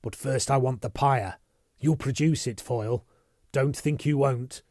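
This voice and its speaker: A man's voice: mid-range voice, a little slow, darkish tone, dry recording, clean studio sound, lightly acted. A man voicing a savage, cold, menacing male interrogator in a storybook reading. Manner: savage, pounding.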